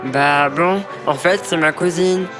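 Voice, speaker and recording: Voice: medium pitch, slow pace, music in the background, close to an everyday voice. An actor voicing a knowledgeable young male train in a French children's cartoon. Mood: matter-of-fact.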